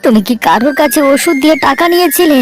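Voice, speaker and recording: A woman's voice: high voice, expressive, fast. A woman voicing a practical, consoling village relative in a cartoon folk tale, speaking emphatically in repeated short phrases. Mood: alarmed. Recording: voice over prominent background music.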